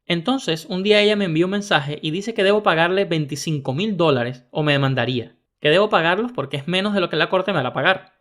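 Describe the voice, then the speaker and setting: A man narrating first-person internet stories in a brisk, even reading style. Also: fairly high pitch. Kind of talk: scripted story narration.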